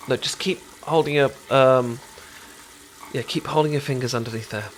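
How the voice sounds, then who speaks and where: Caring British male best friend played by a man for intimate ASMR roleplay: mid-pitched, casual, hesitant, gently explaining over clear kitchen ambience. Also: moderate pace, slight background noise, close to an everyday voice. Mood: calm, instructive. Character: caring, chatty male best friend teaching sushi making.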